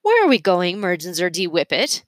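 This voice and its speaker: A woman's voice: on the low side, wildly swooping pitch, moderate pace, no echo, clean studio sound. A woman reading the part of a curious, earnest boy in a children's storybook, with a questioning manner.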